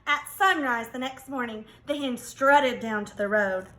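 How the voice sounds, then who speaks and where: Lady reading a kids book out loud, high voice, takes it slow, very expressive teacher style. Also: poor audio. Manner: expressive, dramatic emphasis. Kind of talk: children's storybook read-aloud.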